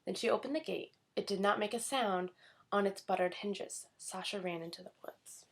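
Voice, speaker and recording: A woman narrates a children's tale from a book. Her delivery is expressive read-aloud storytelling, at a middle pitch. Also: moderate pace. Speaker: storybook reader.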